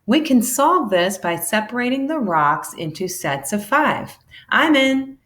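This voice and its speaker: A woman, a teacher, reading a children's book aloud in an expressive, animated way that acts out the dialogue. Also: medium pitch, a little slow.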